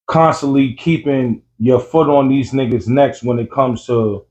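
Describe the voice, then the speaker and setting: A male interviewer works his way through long, meandering questions, restarting as he goes, in a mid-pitched conversational voice. The recording has a dull, lo-fi sound. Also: unhurried, darkish tone. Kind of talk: casual interview chat.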